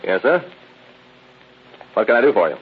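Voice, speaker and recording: An actor playing a brutal, impatient American smuggling boss in an old radio drama, slangy and tough. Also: male voice, lowish voice, sing-song, moderate pace. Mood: businesslike. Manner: threatening, slangy, tough-guy bark. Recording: tinny narrow-band old radio with hiss.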